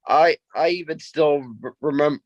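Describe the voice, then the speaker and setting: A man's voice: on the high side, fairly even intonation, moderate pace, dull audio, slight background noise. A man in his thirties co-hosting a sports podcast, talking casually and often repeating himself as he makes a point. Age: 30s.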